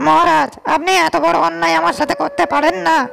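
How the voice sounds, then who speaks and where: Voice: extremely high pitch, little pitch movement, fast talker, creaky, fairly bright tone, soft background music, full character voice. A performer voicing a greedy, jealous army general in a storybook cartoon, speaking indignantly. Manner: indignant, protesting.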